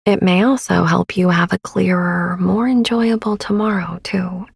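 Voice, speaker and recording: A woman in her thirties gently narrating a sleep meditation as podcast host, with a soft, breathy, soothing and unhurried delivery. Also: fairly low pitch, steady tone, dry recording, clean studio sound.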